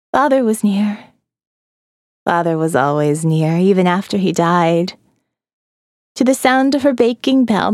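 A woman narrating a first-person horror audiobook, slow and hushed, often dropping to a whisper. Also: medium pitch, dry recording, studio quality.

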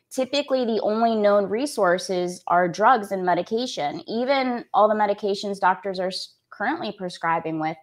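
A woman in her thirties from Canada, an executive coach speaking earnestly as a podcast guest, with lots of "like" fillers. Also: mid-range voice. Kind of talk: podcast interview.

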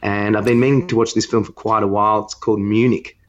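Man, lowish voice, casual podcast host, halting repeated words, muffled lo-fi sound. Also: flat delivery. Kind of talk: casual film discussion.

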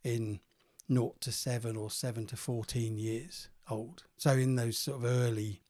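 Croaky man podcast host, thoughtful. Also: medium pitch, fairly even intonation, unhurried, darkish tone. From England. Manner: thoughtful, hesitant, repeats words. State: croaky, getting over a cold. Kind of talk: casual podcast chat.

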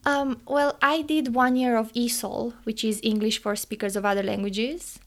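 A woman's voice: on the high side, steady tone, normal speed. A young woman from Romania with a Romanian accent, a podcast guest answering questions earnestly, with some non-native phrasing and easy laughter. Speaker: podcast guest, engineering student. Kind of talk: casual interview answers.

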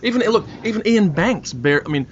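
A man's voice: high-pitched, expressive, fairly quick. A man hosting a casual interview, putting conversational questions to his guests. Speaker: podcast host and interviewer. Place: internet call. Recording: muffled internet call, faint noise.

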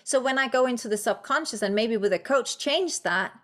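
A woman's voice: fairly high pitch, lively intonation, a little fast, a bit bright. A woman from Denmark whose first language is Danish, a guest author and coach speaking English in a podcast interview, encouraging and reflective with frequent fillers.

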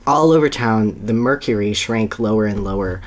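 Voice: mid-range voice, moderate pace. A podcast co-host giving an amused dramatic reading of a children's story, breaking into asides.

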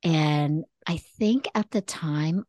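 A woman hosts a podcast interview in a relaxed, conversational way, laughing easily. Her voice sits on the low side and is recorded dry and close. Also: takes it slowly, dry recording.

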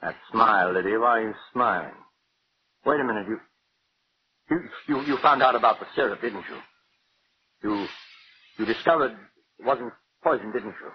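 Actor playing a frantic, suspicious husband, old radio. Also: male voice, on the low side, natural voice. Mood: agitated, suspicious. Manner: halting, repeating, demanding. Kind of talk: 1940s radio drama acting. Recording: muffled old radio broadcast, hiss.

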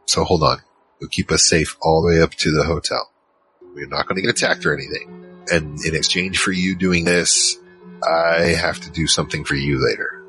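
A man's voice: rumbling low, steady tone, moderate pace, pushed and bright, faint music behind, exaggerated voice, poor audio. A man playing a wary team member who narrates an audio drama in a rambling, wry, conversational way. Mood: wary, reluctant. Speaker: narrator and lead character.

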